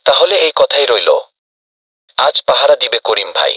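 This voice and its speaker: A man's voice: medium pitch, big pitch swings, normal speed, bright, forceful tone, slightly rough, no echo, exaggerated voice. A man playing a commanding, indignant villager in a comedy cartoon, rallying the others. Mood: decisive. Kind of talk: cartoon voice acting.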